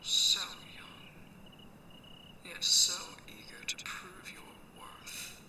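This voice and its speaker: Female pirate captain , played by an actress: hushed, unhurried, commanding and teasing, slightly distant in an echoey sci-fi setting. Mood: teasing, possessive. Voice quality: hushed, breathy whisper. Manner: slow, teasing, imperious. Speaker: voice actor in an audio roleplay. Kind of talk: ASMR sci-fi roleplay acting.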